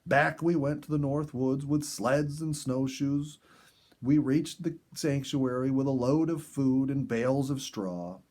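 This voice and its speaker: A man reading a story aloud as a narrator, with animated delivery and dramatic pauses and emphasis. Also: mid-range voice. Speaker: audiobook reader and story host.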